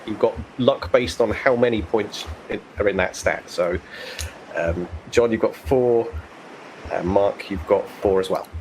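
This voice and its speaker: A man as game master, explaining rules and narrating conversationally, pausing to think aloud. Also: on the low side, a little mellow, slight background noise, rough recording.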